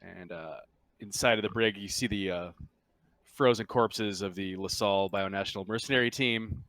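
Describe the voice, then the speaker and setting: A man acts as game master for a tabletop roleplaying session. His voice sits fairly low, and he narrates casually, pausing often as he goes. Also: a bit bright.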